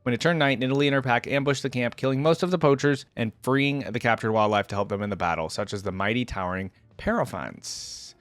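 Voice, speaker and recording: Fast-talking man, dry close sound. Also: mid-range voice, a bit bright, no room sound. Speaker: reaction video host. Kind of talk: reading wiki lore aloud with casual commentary.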